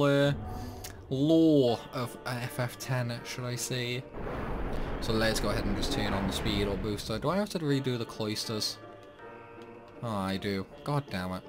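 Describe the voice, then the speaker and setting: A chatty man giving casual playthrough commentary, playfully talking to the game's characters. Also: medium pitch. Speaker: gaming video host. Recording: music behind the voice. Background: game music.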